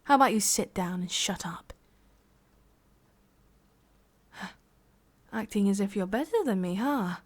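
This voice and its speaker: A woman's voice: medium pitch, animated, moderate pace, natural voice. A woman with an English accent playing a sadistic, condescending boss in an ASMR roleplay, whispery and menacing. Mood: stern, commanding. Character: sadistic, domineering female boss scolding an employee.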